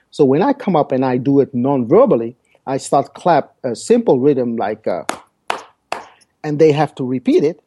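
Male podcast guest, Dutch first language, mellow and halting. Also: fairly high pitch, husky. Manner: hesitant, repeats words while searching for phrasing. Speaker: guest speaker. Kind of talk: podcast interview.

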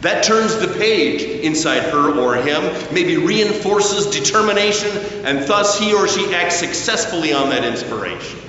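Male preacher, fairly high voice, deliberate emphatic pauses, sermon delivery, heavily reverberant room. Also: expressive, pushed and bright. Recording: very echoey hall, distant, slightly dull, faint noise.

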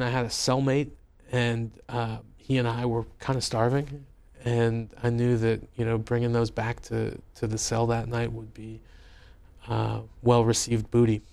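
A man's voice: medium pitch, darkish tone. A man, a journalist and author, recounting his experiences in a wry, conversational way with many fillers and restarts. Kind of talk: interview, personal storytelling.

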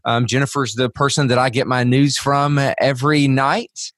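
A man from West Tennessee hosting a conversational interview show, talking haltingly with many fillers and restarts. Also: mid-range voice, level tone, a bit bright, no room sound, clean studio sound. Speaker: podcast host.

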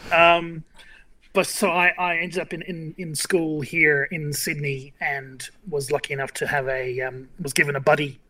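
A man's voice: on the high side, level tone, moderate pace, pushed and bright, rough recording. A man in his fifties from Ireland, a journalist guest telling rambling stories with many fillers and restarts. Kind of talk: casual interview chat.

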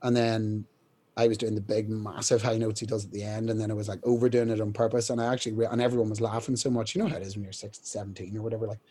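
Young male rock singer, mellow husky speaking voice, casual, rambling. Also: on the low side, level tone, racing. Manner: rambling, many fillers and restarts, clears throat often. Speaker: band singer, interview guest. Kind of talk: casual storytelling interview.

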